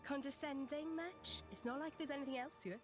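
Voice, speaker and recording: A woman's voice: high-pitched, a little fast, music in the background, lightly acted. An actress playing a feisty, sarcastic young female fighter in a video game, snarky and blunt. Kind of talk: dubbed video game cutscene acting.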